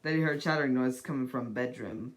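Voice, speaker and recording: A person reading a children's storybook aloud in a deliberate, dramatic way, as an amateur narrator. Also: mid-range voice, rough recording.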